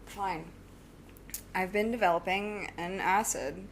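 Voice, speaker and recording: A woman's voice: medium pitch, slow, a little hiss or hum, rough recording. A young woman playing a driven, defiant student supervillain and inventor in an audio drama, speaking with insistent earnestness. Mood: defensive, frustrated.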